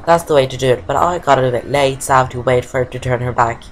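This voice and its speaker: Low-voiced woman narrating a game. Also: very fast, slight background noise. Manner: chatty, explains steps, fillers like "like". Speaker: let's play gamer. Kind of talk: casual game commentary.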